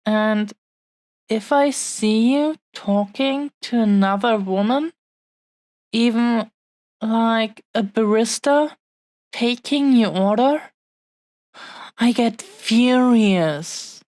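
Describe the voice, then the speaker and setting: Woman playing a slow-speaking, possessive dragon-blooded fiancée. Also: on the high side, a little mellow, dry recording. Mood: remorseful. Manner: earnest, halting, heartfelt delivery in short phrases. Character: possessive, adoring dragon-blooded young fiancée, tender and remorseful. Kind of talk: intimate scripted roleplay audio.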